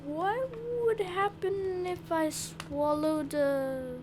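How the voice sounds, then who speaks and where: Curious young boy, wide-eyed, exclamatory, drawn-out pacing, noisy background, radio-play style. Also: very high voice, steady tone, full character voice. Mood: hesitant, curious. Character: eager young boy adventurer, curious and excitable. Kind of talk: scripted audio drama acting.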